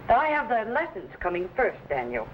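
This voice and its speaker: A muffled, faintly noisy old radio recording of a loving but firm Quaker mother. She speaks in measured, old-fashioned phrases with a very lively rise and fall. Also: mid-range voice. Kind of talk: old-time radio drama acting.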